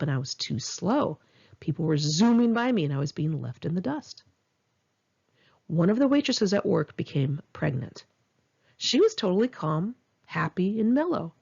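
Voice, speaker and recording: A woman reading her own memoir as scripted audiobook narration, in a frank, emphatic and expressive manner. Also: fairly low pitch, vocal fry, slightly rough, lo-fi sound.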